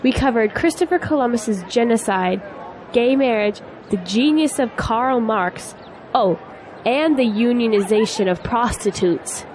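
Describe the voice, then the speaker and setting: A woman's voice: on the high side, a little slow, darkish tone, natural voice. An actress playing a spirited young female graduate in an audio drama, teasing yet earnest. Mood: wry, opinionated. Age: young adult. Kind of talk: scripted audio drama dialogue. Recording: faint noise, outdoor crowd ambience.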